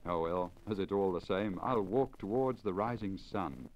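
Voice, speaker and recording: A man's voice: sits low, normal speed, lo-fi sound, close to an everyday voice. A man voicing an eager, determined peasant thinking aloud in a 1950s radio story, on a crackly old disc. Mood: eager, calculating. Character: Pacham, eager, determined Russian peasant landseeker. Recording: crackly deteriorated 1950 lacquer disc recording.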